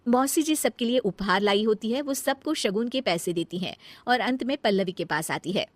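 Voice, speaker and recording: A woman's voice: medium pitch, moderate pace, no room sound. A woman storyteller reading scripted narration of a comic family story.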